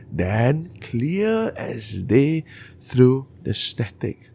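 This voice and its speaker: A man narrating bedtime horror stories in a deliberate, dramatic way, with pauses. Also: medium pitch, sing-song, gravelly, warm tone, breathy edge.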